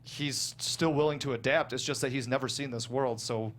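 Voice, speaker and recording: A man talking casually as a guest screenwriter, explaining his work in a halting, self-correcting way. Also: medium pitch, fairly even intonation, a bit bright. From small town in the United States. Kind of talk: casual panel discussion of own script. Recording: clear background noise and hum.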